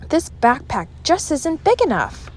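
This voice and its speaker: A dramatic, scheming young storybook girl, played by a woman reader. Her voice is pushed higher and swoops around with big expression. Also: wildly swooping pitch, a little slow, darkish tone, slight background noise, exaggerated voice. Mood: grumbling.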